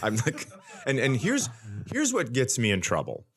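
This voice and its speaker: A middle-aged American man telling stories casually and comically, with an animated, blunt, ranting manner and some swearing. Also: mid-range voice, normal speed, husky. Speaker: podcast host, comedian. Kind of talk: casual comedic storytelling.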